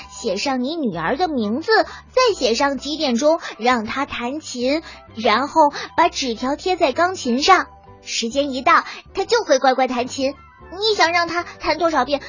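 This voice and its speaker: Over children's music in a slightly dull recording comes an eager little bear cub, with a very high voice. The cub is kind, bumbling and excitable, talking as in a storybook. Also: female voice. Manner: stammering, excitable, reassuring. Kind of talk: children's audio storybook acting. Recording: band-limited dull sound with children's music.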